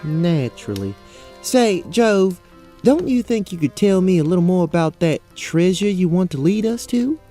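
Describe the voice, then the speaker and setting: An actor playing a dainty, polite Southern belle adventurer with a Southern US accent in a fantasy audio drama. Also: female voice, fairly low pitch, big pitch swings, moderate pace, mellow, soft background music. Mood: curious, composed. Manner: genteel, courteous.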